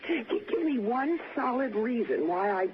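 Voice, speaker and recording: A woman's voice: a little high, very animated, a little slow, crowd noise. An actress playing an anguished, proud, indignant mother in 1960s radio drama, clipped and faltering as she presses her case. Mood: upset, indignant. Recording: dull, noisy 1960s radio recording.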